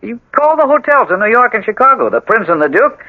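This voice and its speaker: An actor playing a self-justifying husband who argues with emphatic questions in a radio drama. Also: male voice, sits high, big pitch swings, brisk, no room sound, full character voice. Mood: defensive, insistent. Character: evasive, self-justifying husband, coolly argumentative. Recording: muffled, slightly noisy old radio recording.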